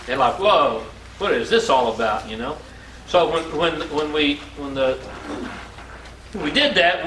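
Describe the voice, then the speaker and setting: Man, 60s, folksy motivational storyteller, bright delivery, picked up from a distance in an echoey room. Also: mid-range voice. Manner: folksy, animated storyteller with repetition and acted-out dialogue. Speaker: speaker telling his life story to an audience. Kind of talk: anecdotal inspirational talk. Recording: roomy, distant mic, faint background noise.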